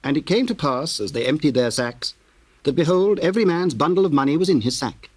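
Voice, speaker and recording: A man narrating a dramatized scripture, reading in a formal, measured way. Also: on the high side, animated, husky, dry recording.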